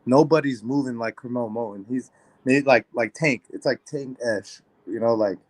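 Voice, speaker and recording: Relaxed rambling man, dry close sound. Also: mid-range voice, normal speed, dry recording. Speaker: podcast co-host. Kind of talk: casual chat.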